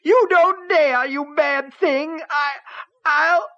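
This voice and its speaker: Shrill, screamy older woman in panic, put on by a male narrator, much louder than the reading, flat lo-fi sound. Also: unhurried, no echo, exaggerated voice. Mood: wailing. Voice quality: high, thin, screamy.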